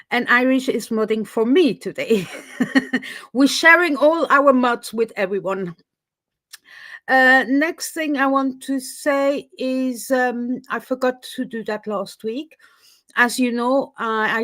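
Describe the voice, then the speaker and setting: Woman, Swiss French accent, slightly high voice, dry close sound, chatty livestream host. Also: no room sound. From Switzerland. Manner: chatty, laughs often, with fillers. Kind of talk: casual livestream chat.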